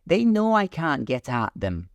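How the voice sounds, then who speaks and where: Storybook: a fiery, commanding female flower, raised pitch, done by a male narrator. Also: unhurried, gravelly, dry recording, exaggerated voice. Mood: imperious. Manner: imperious, excitable. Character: fiery, passionate female tiger lily, bossy.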